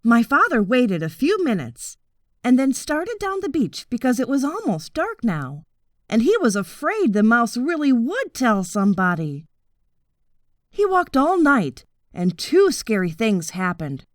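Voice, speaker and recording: A woman narrating a children's story from a script in an expressive, deliberate storytelling manner. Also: on the high side, no room sound, studio quality. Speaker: audiobook narrator.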